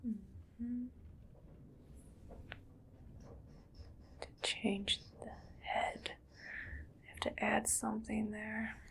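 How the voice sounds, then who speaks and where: A female mad scientist acted out by a woman murmurs in a slow, breathy whisper with a strong creak underneath. She is eerily calm and gleefully morbid, musing to herself in a hushed ASMR roleplay. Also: fairly high pitch. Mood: musing, absorbed.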